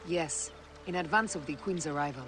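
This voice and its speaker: An actress playing a resolute, grieving Egyptian wife and mother, solemn and measured, in a dramatic video game. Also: deep voice, music in the background, lightly acted. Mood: brisk, proud.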